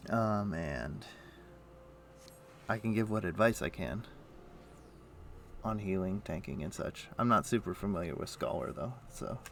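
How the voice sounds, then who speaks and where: A man chatting casually on a game live stream, thinking aloud in a halting, muttering way as he plays. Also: lowish voice, expressive, gravelly, slight background noise. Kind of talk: casual live-stream chat while playing an online game. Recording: faint music behind the voice. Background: faint music.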